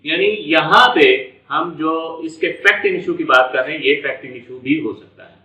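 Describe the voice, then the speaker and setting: A man's voice: fairly high pitch, normal speed, bright, forceful tone. A man with a Pakistani accent giving an explanatory law lecture, repeating and rephrasing step by step and mixing in English legal terms. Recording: echoey room, distant dull mic.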